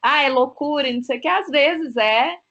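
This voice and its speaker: A woman guest, a business consultant, talking freely in an interview, often filling pauses with short tags. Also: sits high, fairly bright tone, highs cut off. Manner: talkative, fills with "né" and "é". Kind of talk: casual interview chat.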